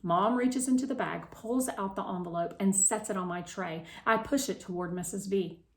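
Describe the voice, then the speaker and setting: A woman's voice: mid-range voice, moderate pace. Woman reading aloud in an echoey room. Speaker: read-aloud reader. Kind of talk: expressive children's novel read-aloud.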